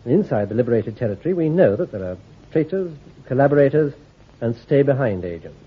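A shrewd, brisk army intelligence commander in an old radio play, laying out orders in a clipped, authoritative manner. His voice is slightly husky with lively pitch movement. The recording sounds thin and tinny, like a phone line. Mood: commanding. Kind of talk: radio drama acting.

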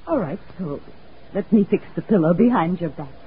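A woman's voice: lowish voice, animated, moderate pace, a little hiss or hum, close to an everyday voice. A woman playing a fussing, doting mother. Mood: coaxing. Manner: fussing, coaxing. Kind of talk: old-time radio drama. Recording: tinny lo-fi radio recording.

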